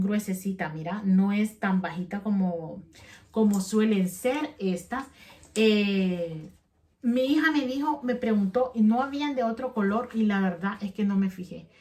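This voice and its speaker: A woman's voice: medium pitch, darkish tone. A middle-aged woman, chatting casually to the camera for her vlog, rambling and often restarting her sentences as she talks straight to her viewers. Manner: rambling, chatty, self-correcting; addresses viewers directly. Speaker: vlogger.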